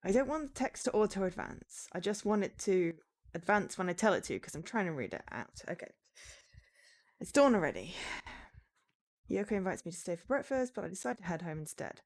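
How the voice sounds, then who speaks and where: A woman's voice: medium pitch, sing-song, brisk. A woman game streamer chatting live and reading game text aloud, breaking off for exasperated asides.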